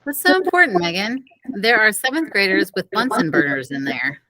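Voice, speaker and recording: A woman voicing a firm, fair-minded female teacher and coach in a children's audiobook, speaking in a measured, admonishing manner. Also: mid-range voice, very animated, noisy background, lightly acted. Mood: brisk. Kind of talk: children's audiobook character reading.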